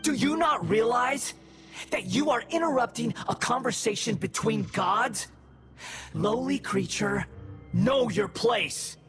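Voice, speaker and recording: An arrogant young god of a video game villain, drunk on power and full of contempt. He speaks slowly and deliberately, with haughty theatrical weight, over loud background music. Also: extremely high pitch, expressive, heavily acted. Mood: furious contempt. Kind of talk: dramatic video game cutscene acting.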